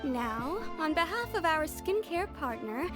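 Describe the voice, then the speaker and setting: A bright-pitched, polished shop announcer. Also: female voice, sing-song, measured pace, loud music behind the voice. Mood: upbeat, formal. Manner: polished, promotional. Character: polished, upbeat female shop announcer at product launch. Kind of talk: video game voice acting, announcement.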